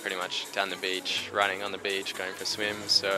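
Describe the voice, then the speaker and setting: A man's voice: low voice, monotone, normal speed. A man with an Australian accent, a dancer and choreographer answering interview questions in an easygoing, chatty way. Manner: easygoing, chatty, starts sentences with "so". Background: music playing.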